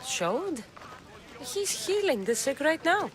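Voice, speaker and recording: A woman's voice: high-pitched, big pitch swings, slow pace, background noise, exaggerated voice. An actress playing a determined young Greek warrior woman in a video game, blunt, impatient and dryly wry. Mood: wry, skeptical. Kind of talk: video game character dialogue. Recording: music under the dialogue.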